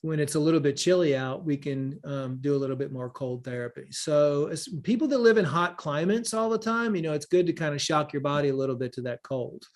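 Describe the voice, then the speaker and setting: A man's voice: fairly high pitch, animated, brisk, few pauses. A man informally teaching about wellness on a live online call, rambling a little, repeating phrases and listing examples as he answers. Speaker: wellness teacher and event leader.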